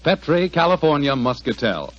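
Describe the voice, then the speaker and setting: An American man announcing in 1940s radio style, delivering a sponsor pitch and story recap in a conversational selling manner. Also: big pitch swings, moderate pace. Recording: dull, lo-fi vintage radio recording with music.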